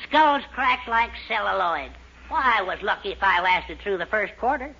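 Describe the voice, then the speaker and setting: A man's voice: high-pitched, lively intonation, moderate pace, vocal fry, sharp tone, husky, exaggerated voice. An actor playing a meek, fussy, blustering middle-aged husband and father in a 1940s radio comedy. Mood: nostalgic, jovial. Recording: muffled, hissy 1940s radio recording.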